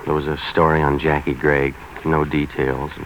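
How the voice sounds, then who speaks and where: An actor playing a cynical private investigator in 1940s radio noir, narrating and sparring in a terse, deadpan, wisecracking way. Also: male voice, moderate pace. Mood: world-weary. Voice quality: low-pitched voice. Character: cynical hard-boiled male private investigator for hire. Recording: dull, noisy 1940s radio recording.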